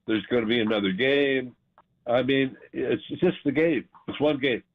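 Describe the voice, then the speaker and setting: Husky older American man on a tinny phone. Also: medium pitch, moderate pace. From California, USA. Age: 60s or older. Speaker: radio show caller. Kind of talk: casual chat. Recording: tinny, narrow phone line.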